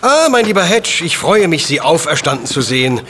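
An actor playing a shrewd, unflappable, pedantic professor detective in an audio drama, with precise, long-winded formal diction. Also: male voice, fairly high pitch, very animated, a little fast, background noise. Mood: cheerful, courteous. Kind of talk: German audio drama acting.

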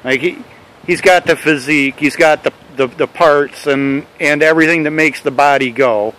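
A man interviewing a canoe racer, building his questions slowly and rambling a little. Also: a little high, fairly bright tone, low-quality recording.

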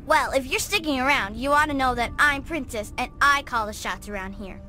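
Woman playing haughty, high-voiced bossy girl. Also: fairly quick, bright, forceful tone, soft background music. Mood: haughty, scolding. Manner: snappy, imperious. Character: haughty, bossy young girl who calls shots. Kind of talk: video game dialogue.

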